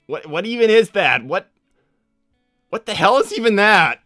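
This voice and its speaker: Teenage male cartoon hedgehog man acting, livestream improv: cocky, easily exasperated, casual and halting, bright forceful tone, slightly raised pitch. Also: sing-song, no echo, studio quality, soft background music, heavily acted. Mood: baffled, indignant. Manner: casual, halting slacker drawl full of repetition. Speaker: livestream host answering viewer questions in character. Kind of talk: improvised in-character livestream chat.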